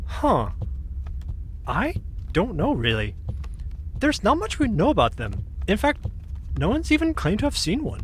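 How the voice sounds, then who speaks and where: A woman's voice: medium pitch, wildly swooping pitch, measured pace, long pauses, a little hiss or hum. A woman playing an easygoing, slightly sheepish young woman in an audio drama, explaining spirit lore in a matter-of-fact, teasing way. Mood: explanatory.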